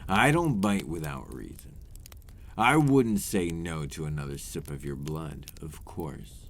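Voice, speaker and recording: A man voicing a centuries-old vampire in an ASMR roleplay, a courtly, teasing predator with condescending, formal, old-fashioned phrasing and a deep voice. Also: expressive, a little slow, a little hiss or hum, exaggerated voice. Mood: teasing, menacing. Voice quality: deep, breathy at moments.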